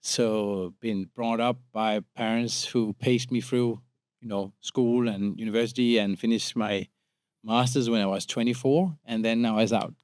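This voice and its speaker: Male, 37, Danish accent, podcast guest. Average pitch, casual halting delivery with repeats, very dry sound. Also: fairly even intonation, a little mellow, clean tone, no room sound. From Denmark. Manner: informal, halting with repeated words. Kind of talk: casual conversational interview.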